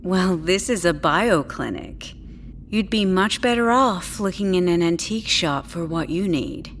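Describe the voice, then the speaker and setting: An actress playing a polite, clinical, tactfully condescending doctor, speaking in a measured, slightly hesitant way in an audio drama. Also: female voice, on the low side, animated, a little hiss or hum. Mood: polite surprise.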